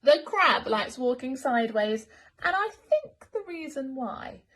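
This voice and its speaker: A woman reading a rhyming picture book to children as a teacher, expressive and sing-song. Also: high voice, a little slow.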